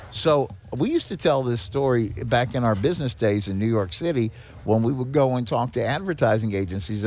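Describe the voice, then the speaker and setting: Older male podcast guest, medium pitch, folksy and emphatic, conversational explainer who drives points home by repeating them. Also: darkish tone, slight background noise. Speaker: podcast guest, head of a seniors' nonprofit. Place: on location at a garage.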